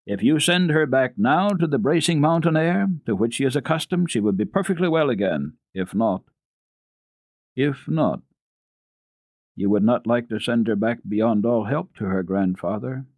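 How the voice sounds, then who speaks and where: An earnest family doctor, read by a man, speaking in a middle-pitched voice. He is grave, firm and deliberate, stressing his advice in a dry storybook recording. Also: lively intonation, darkish tone, no echo. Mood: earnest, challenging. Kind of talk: dramatic storybook reading.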